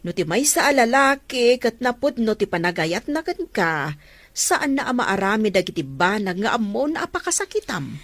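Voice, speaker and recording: A woman plays a concerned, stern Filipina aunt in an audio drama. Her delivery is expressive and admonishing, full of pointed questioning, with a close, dry sound. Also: on the high side, normal speed, dry recording. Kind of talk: emotive audio drama acting.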